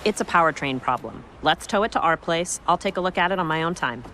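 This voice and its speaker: A woman's voice: fairly low pitch, expressive, fast, fairly bright tone, slight background noise. A woman playing an eager, argumentative mechanic in a game cutscene, pushy and pleading. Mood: eager, persuading. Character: eager, argumentative female mechanic keen on modern cars. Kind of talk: video game cutscene acting.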